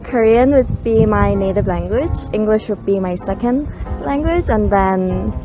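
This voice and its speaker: A woman's voice: medium pitch, a little slow, over music, rough recording. Young woman giving orderly answers. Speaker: interviewee.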